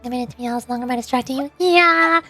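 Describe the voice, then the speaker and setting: A woman's voice: on the high side, completely flat delivery, rapid-fire, a little mellow, husky, faint music behind. A woman livestreamer chatting casually over a game in a playful, theatrical way. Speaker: vtuber streamer.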